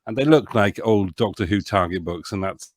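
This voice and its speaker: A man's voice: lowish voice, normal speed, no room sound. A man chatting casually as an interview guest, hesitant and rambling, often interrupting himself. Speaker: podcast guest, author and producer.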